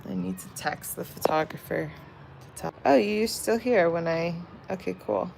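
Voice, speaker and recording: A young woman rambles casually to her livestream viewers, her voice low and gravelly with some vocal fry. She chats loosely while doing her makeup. Faint background noise sits under the recording. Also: moderate pace. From San Diego, California. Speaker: livestreamer and tarot reader. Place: home in Vietnam.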